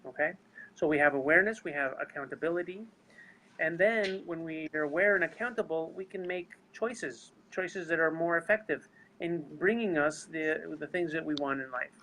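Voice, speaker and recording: A man's voice: on the high side, normal speed, bright, forceful tone, a little hiss or hum, rough recording. A man with a non-native English accent, earnestly explaining his seminar work and speaking straight to viewers over a video call. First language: not English. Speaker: seminar leader. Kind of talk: live webinar talk.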